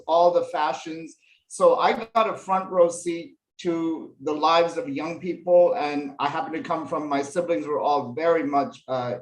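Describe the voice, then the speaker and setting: A man's voice: fairly high pitch, low-quality recording. A man from Nepal, a fashion illustration professor, telling stories from his life as an interview guest, with lots of fillers. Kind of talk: relaxed storytelling interview answers.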